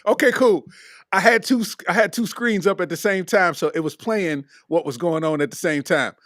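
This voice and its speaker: A man from Kentucky hosts a comedy podcast in a loose, easygoing way. His voice sits high for a man. The sound is dry and close, with no room echo. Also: moderate pace, a bit bright. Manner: laid-back, repeats "you know what I'm saying". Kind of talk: casual comedic podcast chat.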